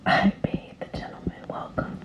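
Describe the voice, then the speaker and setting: A woman voicing a vampire storyteller who confesses a murder in a nervous, breathy, dramatic whisper for an ASMR story. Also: moderate pace, roomy sound, close to an everyday voice. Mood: sly, confident.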